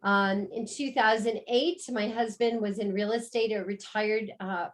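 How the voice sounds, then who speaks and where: A middle-aged American woman, the co-host, tells her own story on a video call in a warm, upbeat sales style. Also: mid-range voice, fairly even intonation, a little slow. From United States. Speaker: co-host and business presenter. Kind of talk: business pitch on a video call.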